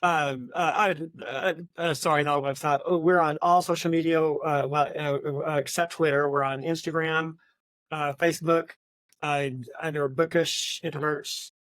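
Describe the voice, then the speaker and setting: A man co-hosting a podcast in casual book chat, speaking haltingly and often repeating words as he goes. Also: fairly high pitch, level tone, fairly bright tone, dry recording. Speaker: podcast co-host.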